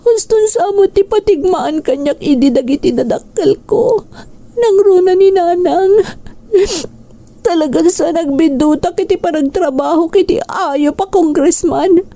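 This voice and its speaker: A Filipino actress playing a dutiful young secretary in distress, emotional and pleading, in a radio drama. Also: female voice, very high voice, unhurried, dark tone. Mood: tearful, distraught. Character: distressed young female secretary, dutiful, harassed by her boss. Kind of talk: Ilocano radio drama acting. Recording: music behind the voice.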